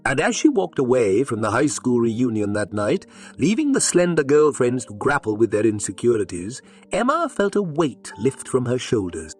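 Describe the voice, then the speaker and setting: A man narrating a scripted dramatic story in a measured, expressive read-aloud delivery. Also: mid-range voice, soft background music. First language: English.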